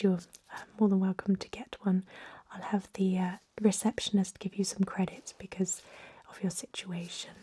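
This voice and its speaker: A woman voicing a kindly, chatty old vampire medic in a gentle, breathy whisper for an ASMR role-play. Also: medium pitch. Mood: hospitable. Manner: gentle, rambling, reassuring bedside talk. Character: kindly, chatty, aged female vampire medic, pureblood purist.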